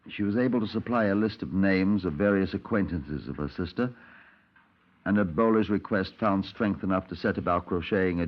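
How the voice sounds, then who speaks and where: An actor playing a formal, dry Scotland Yard detective with a British accent, deliberate in speech, on an old 1950s radio drama recording. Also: male voice, low voice, muffled recording, close to an everyday voice. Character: measured, dry Scotland Yard detective narrating his case. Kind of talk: 1950s radio police drama acting and narration.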